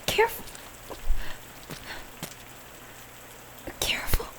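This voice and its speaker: A woman playing a caring, hurt young friend in an intimate audio roleplay, speaking earnestly and haltingly to the listener. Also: whispered, close to an everyday voice, low-quality recording. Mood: worried, scolding. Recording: steady rain noise over the voice. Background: rain falling on surfaces.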